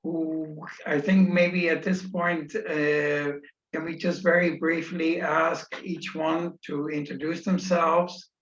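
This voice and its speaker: A man's voice: on the high side, flat delivery, slow, a bit bright. A man facilitating an online meeting, speaking informally with polite, hesitant phrasing. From Netherlands. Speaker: session facilitator. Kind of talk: unscripted introduction at an online meeting. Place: remote video meeting. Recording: echoey, dull online meeting audio.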